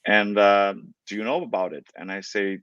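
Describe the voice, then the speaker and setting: A middle-aged man from Brazil, a native Portuguese speaker, talking in a halting way with many fillers and restarts as an interview guest. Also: lowish voice, steady tone, fairly bright tone, no echo, dull audio. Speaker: guest opera singer. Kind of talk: relaxed interview talk.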